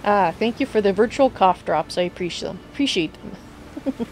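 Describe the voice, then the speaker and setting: A woman streaming a video game live, slightly hoarse from a cold, chatting with viewers and thinking aloud as she plays. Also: medium pitch, animated, normal speed, darkish tone, a little hiss or hum, low-quality recording. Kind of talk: casual live gameplay commentary.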